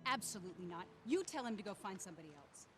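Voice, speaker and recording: An actress playing a protective adult wife, sharp and insistent. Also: female voice, mid-range voice, big pitch swings, fast, faint music behind, natural voice. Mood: firm.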